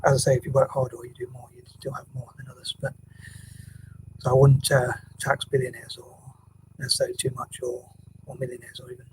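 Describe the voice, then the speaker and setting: A man vlogging casually at home, with a quiet voice, talking hesitantly with many restarts and fillers, often sinking to a near whisper. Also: mid-range voice, monotone, fairly quick, noisy background. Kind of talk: rambling casual vlog monologue. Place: home room, building work next door.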